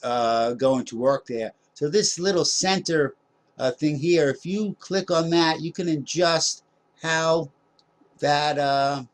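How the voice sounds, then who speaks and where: A man's voice: fairly high pitch, takes it slowly. Rambling man talking through a tutorial. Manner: rambling, many "uh" fillers, self-deprecating jokes. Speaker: tutorial host. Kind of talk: casual screen-recorded software tutorial.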